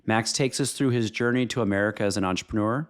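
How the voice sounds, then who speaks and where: Male podcast host with a lowish voice and a measured, polished read. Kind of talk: scripted intro then interview questions.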